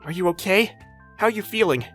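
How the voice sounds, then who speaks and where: A woman voicing a loving, protective husband who speaks softly and turns angry at his mother. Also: mid-range voice, expressive, fairly quick, faint music behind, lightly acted. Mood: gentle. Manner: gentle and soothing, furious when defending.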